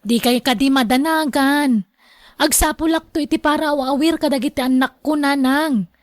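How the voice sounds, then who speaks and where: High-voiced headstrong young mother played by a woman. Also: normal speed, no echo, studio quality, close to an everyday voice. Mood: dismissive. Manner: defiant, dismissive. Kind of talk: Ilocano radio drama acting.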